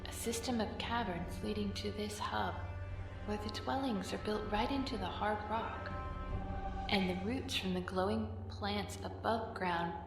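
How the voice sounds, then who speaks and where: A woman's voice: medium pitch, a little slow, close to an everyday voice. A woman playing a curious, keen young Jedi in an audio comic drama. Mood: awed. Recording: distant, echoey reverb. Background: music.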